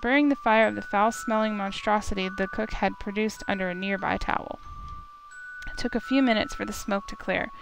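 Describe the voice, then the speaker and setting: A woman's voice: medium pitch, fairly quick. A woman reading a visual novel aloud as story narration for a playthrough. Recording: music clearly behind the voice.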